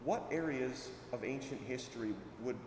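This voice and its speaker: A man's voice: mid-range voice, normal speed, distant mic. A man moderating a conference panel, asking questions hesitantly with fillers. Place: large conference hall. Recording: echoey hall from off-mic, room noise.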